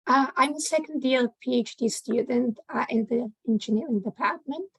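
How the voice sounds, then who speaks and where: Croaky woman losing her voice. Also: a little high, moderate pace, no room sound, rough recording. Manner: hesitant, with many uhs. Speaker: PhD student. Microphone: video call.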